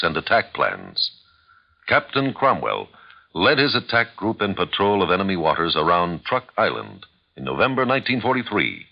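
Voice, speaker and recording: A man's voice: low-pitched, creaky. A man working as an announcer, reading solemn scripted narration in a 1950s radio style. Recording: dull old radio broadcast recording.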